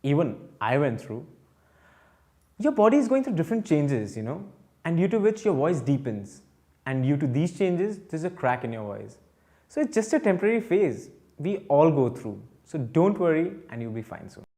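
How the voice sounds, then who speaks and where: A man's voice: on the high side, very animated, moderate pace, warm tone. A man hosting a youth health Q&A, explaining things upbeat and reassuringly straight to viewers. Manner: reassuring, addresses viewers directly. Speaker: host of a youth health Q&A show. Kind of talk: upbeat presenter explainer to camera.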